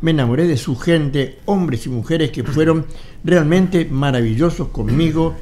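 A man's voice: on the high side, a little slow, dark tone. A man in his eighties with an Argentine Spanish accent, a guest in a studio interview, telling his story animatedly and acting out old conversations. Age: 80s. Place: studio with wall photos. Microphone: studio mic on boom arm.

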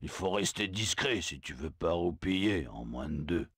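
A man voicing a sly male zoo animal who warns in a slow, hushed, conspiratorial way, in a video game dub. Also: low-pitched, expressive, breathy edge, no room sound, studio quality.

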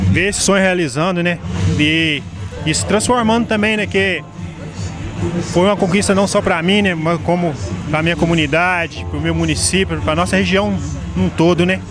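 A man talks about his coffee in a halting, casual way, leaning on filler tags. His voice sits fairly high, and he speaks into a handheld mic at an outdoor country festival. Loud music plays behind him. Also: steady tone. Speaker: award-winning coffee grower interviewed. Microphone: handheld interview mic.

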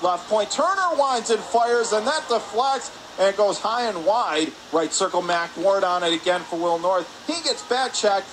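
A man doing live radio play-by-play hockey commentary in rapid, descriptive calls. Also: high voice. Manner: rapid descriptive calls, excited on scoring chances. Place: press area above rink in an indoor arena. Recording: noisy ice arena, steady background din.

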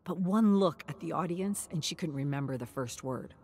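A woman reading a dramatic scripted story in a measured, suspenseful manner, dropping toward breathy near-whispers for emphasis. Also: low voice, lively intonation, a little mellow. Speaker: narrator.

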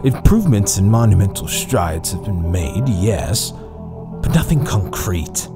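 A man's voice: deep voice, very animated, measured pace, over music, full character voice. A man playing an obsessed, pompous mad scientist doctor in an audio horror drama, lecturing in florid style. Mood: obsessive.

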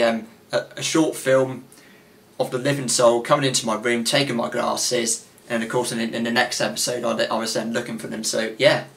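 A man's voice: medium pitch, gravelly, fairly bright tone. A twenty-one-year-old man hosting a quiz from his bedroom, rambling with many fillers and restarts, his voice wearing out. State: voice getting tired. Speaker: channel host running a quiz. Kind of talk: casual quiz hosting to camera. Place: small bedroom with gaming desk, indoors.

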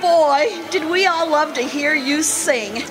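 A woman acting in a radio drama as a kindly, excitable former gold-rush saloon girl, with a folksy, gushing manner. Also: high voice, slow pace, over music. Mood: nostalgic.

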